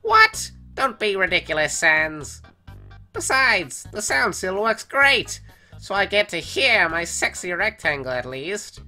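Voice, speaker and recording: An exuberant, affectionate cartoon skeleton brother, acted by a woman, theatrical and earnest. The voice is bright and forceful and takes its time, with music underneath. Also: medium pitch, big pitch swings, slow, full character voice. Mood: cheerful. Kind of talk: comic dub voice acting. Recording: voice over background music.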